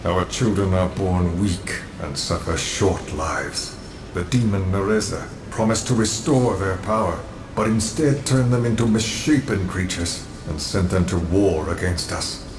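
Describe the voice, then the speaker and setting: Echoing deep solemn guardian spirit. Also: male voice, background noise. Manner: stately, solemn, commanding. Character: solemn ancient male temple guardian spirit, proud and grave. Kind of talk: video game voice acting. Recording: heavily echoing, distant, over game music.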